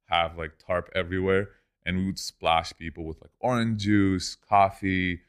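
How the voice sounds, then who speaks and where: A man's voice: sits low, steady tone, a little slow, smooth. A young man from Lebanon, a guest on a podcast, talking casually about business and often saying "dude" and "man". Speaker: guest, e-commerce entrepreneur.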